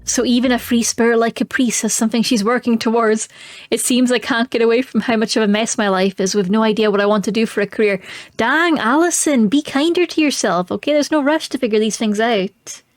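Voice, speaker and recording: A woman's voice: fairly high pitch, brisk, dry recording, studio quality. A woman streaming a game, chatting expressively and reading the story text aloud. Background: faint music.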